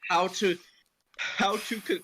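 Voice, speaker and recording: A man's voice: high-pitched, steady tone, takes it slowly, sharp tone, husky, low-quality recording. A man improvising playful, mock-dramatic comic lines in a group script reading. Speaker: cast member in a comedy script reading.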